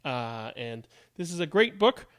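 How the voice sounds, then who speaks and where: A man reading a children's book aloud in a playful, expressive way with dramatic pauses. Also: mid-range voice, clean tone, no room sound, studio quality. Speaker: read-aloud storybook reader. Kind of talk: theatrical children's picture book read-aloud.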